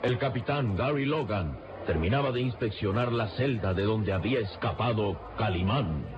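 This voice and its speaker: A male actor narrating an adventure radio serial in a solemn, measured and suspenseful manner. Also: fairly low pitch, lively intonation, heavy background noise, lightly acted. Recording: dull lo-fi radio, loud music behind.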